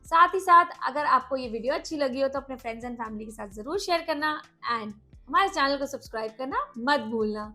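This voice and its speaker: High-pitched woman, casual review host, explanatory, English words mixed in, faint music behind. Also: bright, forceful tone. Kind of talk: casual product demo to camera.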